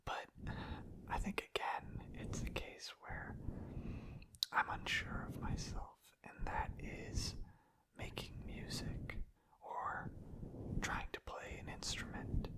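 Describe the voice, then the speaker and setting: A young man speaks in a whisper for an ASMR recording. He rambles gently and hesitantly, feeling his way from thought to thought. The delivery is intimate, close to the microphone. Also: slow pace, poor audio. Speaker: ASMR host.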